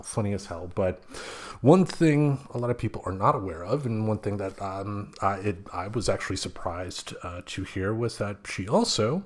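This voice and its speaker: A man speaking as a podcast host, giving a casual, informal solo introduction in a halting, conversational way. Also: low voice.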